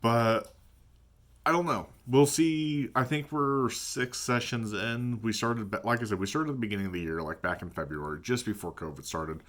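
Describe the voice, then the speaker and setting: A man with a deep voice talking casually in a vlog about his tabletop gaming, thinking aloud and hesitating as he goes. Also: moderate pace, fairly bright tone. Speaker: tabletop game player. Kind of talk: casual reflective vlog talk.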